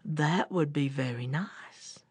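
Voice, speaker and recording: Woman voicing a slow, breathy, low storybook bear. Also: a little mellow, no room sound, heavily acted. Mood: impressed, wistful. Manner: slow, simple, rustic folk speech. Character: slow, gullible, good-natured male bear. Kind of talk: animated folk-tale storytelling.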